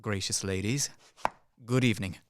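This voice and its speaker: A man's voice: fairly low pitch, normal speed, background noise, lightly acted. A man playing a practical Swiss army captain in his thirties in a radio comedy, matter-of-fact and emphatic. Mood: brisk, businesslike. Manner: matter-of-fact, emphatic, reeling off lists and figures. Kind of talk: stage-comedy radio play acting.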